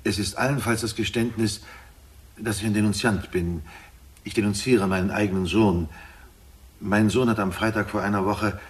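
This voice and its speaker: Male actor as middle-aged troubled father, very low voice, grave, probing, reproachful, radio play. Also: steady tone, normal speed, close to an everyday voice. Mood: grave confession. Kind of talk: German radio drama acting.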